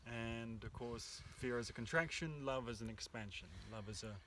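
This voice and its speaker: A man co-hosting a workshop, talking in a calm, reflective and hesitant way, recorded outdoors in the countryside. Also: mid-range voice, moderate pace, recorded from a distance, a little hiss or hum. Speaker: workshop co-host. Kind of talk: casual spiritual talk. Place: outdoors in the countryside under an oak tree.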